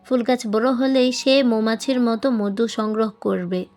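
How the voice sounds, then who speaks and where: High-voiced woman narrating a story over music. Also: steady tone, unhurried, darkish tone. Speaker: storyteller reading a novel aloud. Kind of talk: scripted audiobook narration with acted dialogue.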